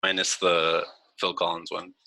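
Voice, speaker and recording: Low-voiced male musician on a call, crisp tone, modest and quiet. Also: completely flat delivery, unhurried. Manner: modest, soft-spoken, chuckling. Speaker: contestant musician. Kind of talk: casual video-call chat.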